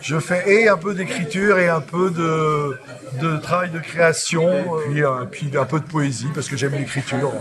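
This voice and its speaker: A man's voice: fairly high pitch, moderate pace. A man, a poet, speaking shyly and modestly about his writing. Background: crowd of book fair visitors.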